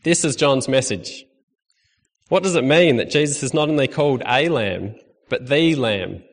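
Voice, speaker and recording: A young Australian man preaching to a congregation in a relaxed, friendly way, with a few gentle jokes. His voice sits at a mid pitch. It rings out with a long reverb from a church hall. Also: moderate pace. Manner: friendly, conversational preaching with light humor. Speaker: lay preacher. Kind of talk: sermon.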